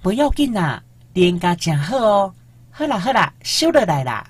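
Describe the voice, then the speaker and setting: A woman with a Taiwanese accent playing an earnest, romantic young male student who coaxes gently in a radio drama. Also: on the low side, big pitch swings, moderate pace, breathy edge, no echo. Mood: coaxing. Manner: coaxing, ardent.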